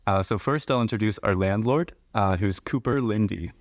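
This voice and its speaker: A man hosting a podcast interview, speaking in a measured, explanatory way. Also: on the low side. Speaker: podcast host.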